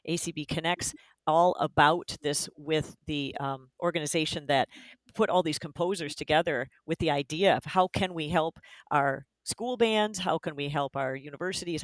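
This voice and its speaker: A woman's voice: deep voice, no echo. A woman co-hosting a podcast about community bands, talking with enthusiasm in long, run-on sentences. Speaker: podcast co-host, band director. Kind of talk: casual conversation.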